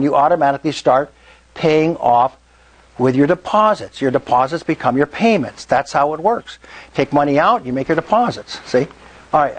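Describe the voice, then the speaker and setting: A man hosting a real estate meetup, explaining to a live audience and often checking in with "right?" and "okay?". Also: fairly high pitch. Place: meeting room.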